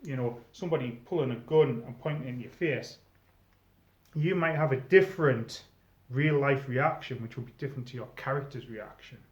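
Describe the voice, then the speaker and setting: A man's voice: medium pitch, normal speed. A British man hosts an informal advice video, talking in a chatty, explanatory way. He checks in with his listener as he goes. The sound is echoey and a little dull, as if recorded in a bare room. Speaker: video host, experienced live role-player. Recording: roomy echo, slightly dull sound.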